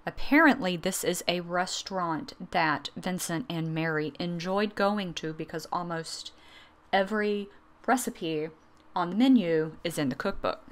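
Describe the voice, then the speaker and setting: A woman's voice: on the low side, fairly bright tone. A woman in her thirties hosting a cooking vlog, narrating plainly and then chatting over a tasting at a dining table. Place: dining room in a friend's house.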